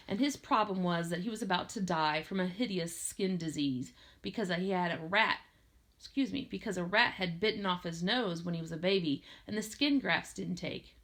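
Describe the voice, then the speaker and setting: A woman narrates a first-person story as an audiobook reader. Her voice sits at an ordinary middle pitch, and she reads expressively, as if telling the tale herself. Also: a bit bright.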